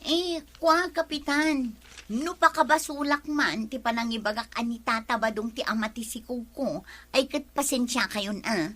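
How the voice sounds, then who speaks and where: A woman's voice: fairly high pitch, moderate pace, bright, forceful tone. A woman playing an indignant, quarrelsome village woman in a comedy drama, with a Filipino accent and an exclamatory way of talking. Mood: apologetic. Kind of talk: Ilocano comedy radio-drama acting.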